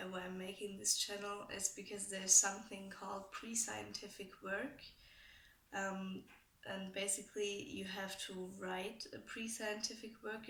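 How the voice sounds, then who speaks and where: Austrian teen girl vlogging, german accent, bright voice, lots of ums, echoey room, mic a bit far off. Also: mid-range voice. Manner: hesitant, many ums, soft and a bit flat. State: a bit ill. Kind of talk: casual talk to camera.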